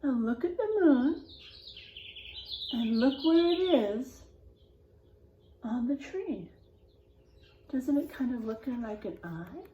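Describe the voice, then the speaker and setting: A woman reading a picture book aloud to children, pausing to ask them questions. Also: a little high, expressive, dark tone, echoey room, lo-fi sound, slight background noise. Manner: slow, asks children questions. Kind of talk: children's storybook read-aloud.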